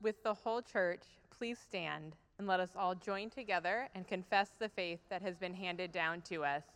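A woman worship leader giving a measured liturgical reading in a church. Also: on the low side, fairly bright tone, smooth. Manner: slow, measured, deliberate reading. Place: church sanctuary. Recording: long church hall reverb.

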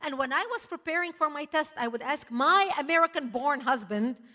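High, bright woman speaking in an echoey hall. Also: expressive, brisk. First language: not English. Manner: forceful, emphatic, punctuated with laughs. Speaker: speaker at a political rally. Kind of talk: impassioned public speech. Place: large hall before a live audience. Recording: echoey hall, long reverb.

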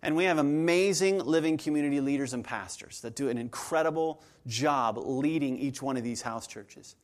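A man's voice: mid-range voice, normal speed. A man, preaching a sermon to his congregation in an earnest, conversational way, often repeating his phrases. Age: 40s. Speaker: pastor.

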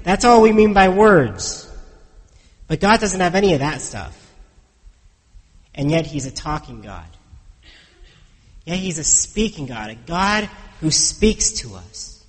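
A pastor preaching a conversational sermon in a church, hoarse and congested from a head cold, often asking the congregation questions. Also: male voice, high-pitched, in a large hall, low-quality recording.

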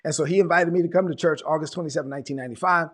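A middle-aged American man giving an informal, conversational sermon of personal testimony, telling it like an animated story and repeating phrases for emphasis. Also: fairly high pitch, very fast. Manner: animated storytelling, acts out quoted dialogue, conversational.

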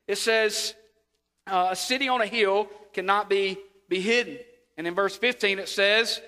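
A man preaching a sermon, sniffly from a sore throat, repeating words and calling for amens. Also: high-pitched. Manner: repeats words, rises to near shouts, calls for amens. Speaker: pastor. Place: church sanctuary. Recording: long hall reverb.

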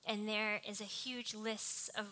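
A woman's voice: mid-range voice, little pitch movement, a little slow, pushed and bright. A young woman preaching a sermon with personal anecdotes, in a small, cartoonish voice. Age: young adult. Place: live talk before a congregation.

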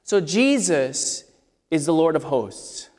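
A man's voice: on the high side, big pitch swings, slow pace, a little mellow. A man preaching an expository sermon with a scratchy voice, talking conversationally and asking his listeners questions. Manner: conversational, asks the congregation questions. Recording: long hall reverb.

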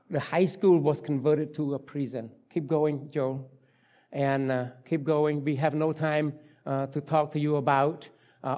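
A man in his sixties from Cambodia, speaking English with a Cambodian accent, gives a halting personal testimony to a church congregation, full of fillers and restarts. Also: mid-range voice, dark tone. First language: Khmer. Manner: halting, many fillers and restarts, light self-deprecating laughs.

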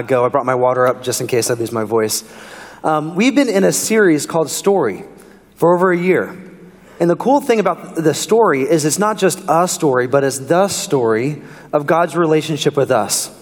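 Pastor preaching in a reverberant church. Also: male voice, on the high side, moderate pace. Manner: casual, conversational preaching with fillers. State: strained voice from singing. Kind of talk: sermon. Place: church sanctuary.

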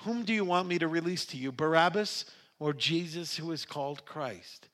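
A man's voice: on the high side, moderate pace, a bit bright, close to an everyday voice. A man voicing a troubled, authoritative Roman governor in a Bible reading, declaiming, questioning and pleading. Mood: declaring.

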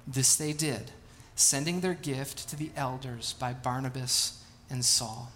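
A man's voice: medium pitch, steady tone, unhurried, fairly bright tone, a little hiss or hum. A man in his late thirties, a pastor, reading scripture, praying and then telling casual, self-deprecating stories in a sermon. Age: 30s. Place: church sanctuary.

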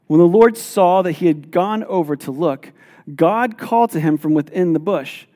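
A man preaching a sermon as pastor, reading scripture aloud and explaining it in an animated, emphatic way. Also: high-pitched, moderate pace. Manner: animated, self-deprecating, emphatic. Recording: long hall reverb.

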